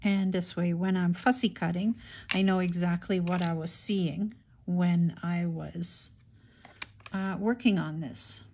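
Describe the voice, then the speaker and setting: A woman's voice: lowish voice, steady tone, takes it slowly, darkish tone, a little hiss or hum. A woman hosting a casual craft-along, unhurriedly thinking aloud and murmuring to herself as she works. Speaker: craft tutorial host.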